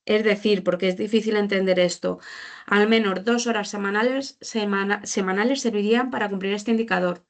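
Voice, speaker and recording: A woman's voice: medium pitch, steady tone, fairly quick. A woman presenting report findings informally, explaining hesitantly and often rephrasing or repeating her points. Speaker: social policy presenter.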